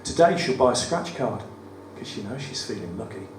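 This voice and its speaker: A man with a West Midlands accent and a croaky voice, reading his poems at a live event with wry, self-deprecating banter. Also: medium pitch, sing-song, moderate pace, distant mic. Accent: West Midlands English. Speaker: performance poet. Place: studio theatre at a live cabaret event. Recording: roomy live venue, mic from afar, audible room noise. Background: audience ambience.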